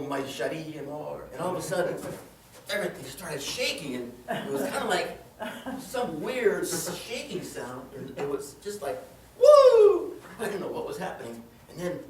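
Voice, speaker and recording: A man performs a comic tall tale with plenty of theatrical flourish. His delivery is highly animated, with wide swings in pitch. He is heard at a distance from the microphone in a very echoey room. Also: background noise. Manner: theatrical, dramatic storytelling with exclamations and acted-out quotes. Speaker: storyteller in a tall tales contest. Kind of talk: live comedic tall-tale storytelling to an audience. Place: indoor room before a live audience.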